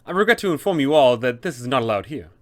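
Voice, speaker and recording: A man's voice: mid-range voice, expressive, fast talker, a bit bright, lightly acted. A man voicing an officious, polite, fussy undead tomb custodian with formal announcer phrasing, as a tabletop villain.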